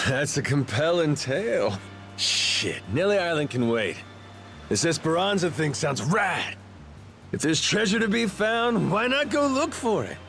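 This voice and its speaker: An actor playing a brash, carefree pirate captain in a video game, talking in a casual, cocky, slangy way. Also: male voice, on the high side, big pitch swings, measured pace, vocal fry, a bit bright, faint music behind, exaggerated voice. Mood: eager, brash.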